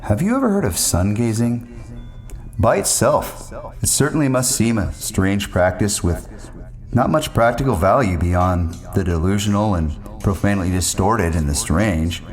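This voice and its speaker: A man with a fairly low voice narrates a first-person story. His phrasing is ornate and wordy, wandering as he goes, with faint music underneath. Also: lively intonation, measured pace, a little mellow.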